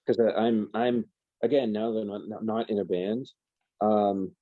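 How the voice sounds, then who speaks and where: A man's voice: fairly low pitch, fairly even intonation, takes it slowly, no echo, narrow, tinny audio. A man, a musician, talking as a podcast guest in a candid, plain-spoken casual chat.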